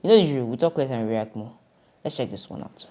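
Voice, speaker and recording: A man's voice: medium pitch, quick pace, darkish tone. A man hosting a YouTube reaction channel, giving an upbeat, hyped introduction.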